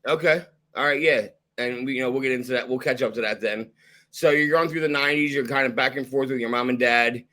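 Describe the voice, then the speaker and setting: A man in his thirties hosting a podcast, laid-back and quick to laugh, swearing casually. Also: medium pitch, bright, forceful tone. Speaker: podcast host. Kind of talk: casual interview chat.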